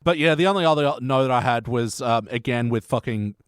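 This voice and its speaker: A man's voice: mid-range voice, fairly quick, clean tone, no echo. Blunt sweary guy co-hosting a tv podcast, normal mid voice, really dry close recording. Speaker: podcast co-host. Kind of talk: casual chat reviewing a TV show.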